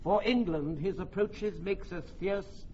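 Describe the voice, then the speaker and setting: Wary French king, fairly high voice, stately, commanding, Shakespearean radio drama, dull recording. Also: unhurried, warm tone. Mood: urgent command. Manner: stately, solemn. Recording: dull, faintly noisy old radio recording.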